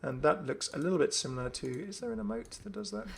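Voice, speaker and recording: A man's voice: sits high, animated, quick pace, gravelly, slightly rough. A man giving casual, off-the-cuff video game commentary as a host, chatty and often musing aloud. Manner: chatty, musing aloud, asks himself questions.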